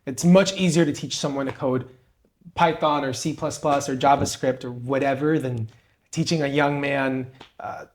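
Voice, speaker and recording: A man's voice: mid-range voice, fairly even intonation, moderate pace. A young man from Peru, co-host of a podcast, telling his story and giving advice in an earnest, motivational way. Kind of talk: conversational interview.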